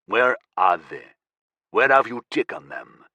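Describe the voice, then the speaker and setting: A man voicing a cold, menacing militant leader who speaks slowly in a low, dangerous tone. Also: expressive, sharp tone, no room sound, heavily acted.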